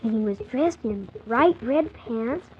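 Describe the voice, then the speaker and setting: A woman's voice: a little high, expressive, a little slow, slightly rough, exaggerated voice. A woman playing an eager, wide-eyed young orphan boy, telling his adventure earnestly and full of wonder in a 1940s children's radio serial. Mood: wonder-filled. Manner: earnest, wonder-filled, childlike storytelling with exclamations. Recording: muffled 1940s radio transcription, faint hiss.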